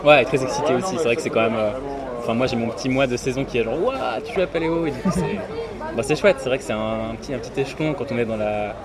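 A man in a band talks fast and easily, with a mid-range voice. He is outdoors at a festival. Loud music and a noisy crowd fill the background. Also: medium pitch. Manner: relaxed, talks fast with fillers. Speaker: band member. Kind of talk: casual festival interview.

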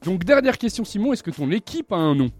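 A man's voice: high-pitched, big pitch swings, fast talker, faint music behind. A young man hosting a live student radio show from a studio in a chatty, joking way.

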